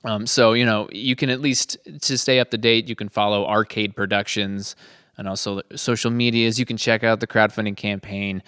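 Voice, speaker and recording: Low, smooth-voiced man hosting, dry sound. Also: steady tone, normal speed, fairly bright tone, dry recording. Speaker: host of a production log podcast. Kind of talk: casual conversational hosting.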